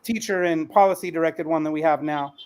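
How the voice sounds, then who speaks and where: Man, high-pitched voice, casual conversational tone, panel host and teacher. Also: brisk, darkish tone, smooth. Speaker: podcast host and school teacher.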